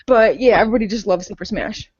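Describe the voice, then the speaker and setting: A woman's voice: mid-range voice, fast, a little mellow, muffled recording, a little hiss or hum. A woman co-hosting a casual podcast, blunt, sarcastic and opinionated, with plenty of swearing. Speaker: podcast co-host.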